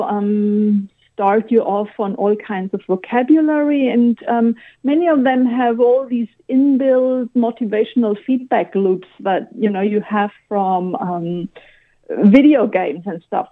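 A woman with a German accent explains things patiently, filling her pauses with soft ums as she works through each point. Her voice sits a little high and comes through thin and narrow, like a phone-line call into a radio show. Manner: thoughtful, explanatory, many ums. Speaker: guest expert on language learning. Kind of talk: radio interview answers. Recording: phone-line interview audio.

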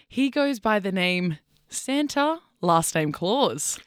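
A woman hosts a radio show in a friendly, encouraging way. She speaks at an ordinary mid pitch. The sound is dry and close, as in a studio. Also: sing-song, slow, smooth, no room sound. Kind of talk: casual radio chat.

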